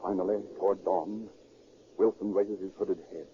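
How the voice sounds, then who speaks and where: A man's voice: deep voice, steady tone, moderate pace, a little hiss or hum, lightly acted. A man acting as a theatrical, portentous narrator in a 1930s radio crime drama. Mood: dramatic. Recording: muffled 1930s radio recording.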